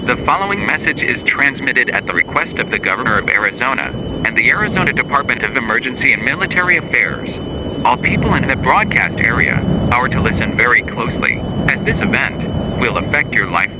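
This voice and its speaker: Man, emergency alert announcer, formal measured reading, mid-pitched, loud background noise. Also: animated.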